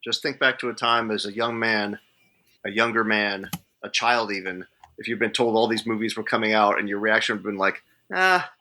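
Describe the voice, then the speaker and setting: A man's voice: fairly low pitch, steady tone, fairly quick, bright, forceful tone. A man talking casually as co-host of a panel podcast.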